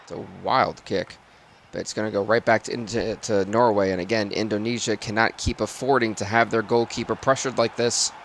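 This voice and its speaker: A man with a raspy voice doing live football game commentary and stream chat in sportscaster phrasing. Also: lowish voice, fairly even intonation, a little fast, a bit bright, a little hiss or hum.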